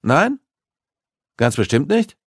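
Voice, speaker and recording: A man voicing a helpful family man in an audiobook, brisk and questioning. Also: fairly high pitch, very animated, slightly rough, no echo, studio quality, voice pushed up, full character voice. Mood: loud, brisk. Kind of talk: audiobook character voice.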